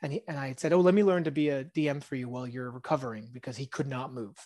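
A man in his thirties who grew up speaking Hebrew sits in as a podcast guest, thinking aloud in a soft, musing way. His voice sits at an ordinary middle pitch and drops into quiet asides. The recording is close and dry, with no room sound around him. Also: fairly even intonation, racing, dry recording. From Israel. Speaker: podcast guest, tabletop game designer. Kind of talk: casual interview chat.

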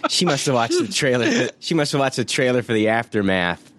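A man's voice: medium pitch, wildly swooping pitch, fairly quick, a bit bright. A man hosting a podcast, casually reading out listener reviews, laughing often and swearing offhandedly.

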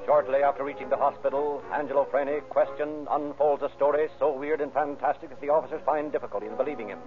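A man's voice: mid-range voice, steady tone. Melodramatic male old-radio narrator over music. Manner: ominous, measured, florid. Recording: tinny narrow-band old radio recording. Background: loud dramatic music.

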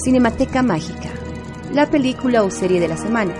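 A woman announcing a radio segment jingle with bright emphasis, over music. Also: a little high, expressive, a little fast. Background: background music.

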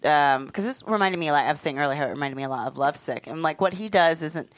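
A woman's voice: low-pitched, quick pace, dry recording. A woman chatting casually on a discussion panel, informal and opinionated. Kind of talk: casual chat.

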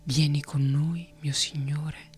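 A woman's voice: deep voice, flat delivery, slow, faint music behind. A woman whispering as a cunning noble queen. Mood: coaxing. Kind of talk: whispered fantasy audiobook reading.

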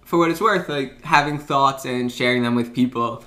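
A man's voice: medium pitch, moderate pace, fairly bright tone. A young man in his twenties co-hosting a podcast, chatting casually and rambling with lots of restarts and fillers. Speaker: podcast co-host. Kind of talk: casual sibling chat.

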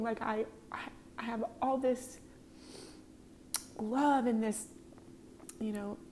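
A woman's voice: sits high, darkish tone, a little hiss or hum. A woman in her thirties hosting her own podcast, talking in an earnest, confessional way with many ums. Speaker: podcast host. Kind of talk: casual personal talk.

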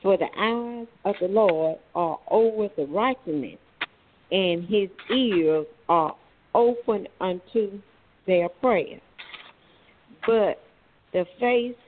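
A woman from North Carolina reading scripture aloud in a measured, solemn manner. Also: medium pitch, a little hiss or hum. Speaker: scripture reader. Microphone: phone call. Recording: tinny phone line.

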